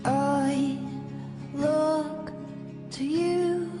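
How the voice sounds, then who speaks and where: A woman singing in a slow, drawn-out style with long held words. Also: sits high, fairly even intonation. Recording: echoing vocal over loud guitar music.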